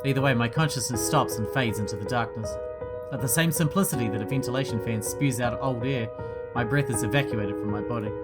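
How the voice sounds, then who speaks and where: A male gaming host reads a horror visual novel aloud, giving the narration a dramatic delivery. His voice sits at a middling pitch. Loud game music plays under him the whole time. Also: fairly quick. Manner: dramatic reading of text with quieter joking asides. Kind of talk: let's play, reading visual novel text aloud.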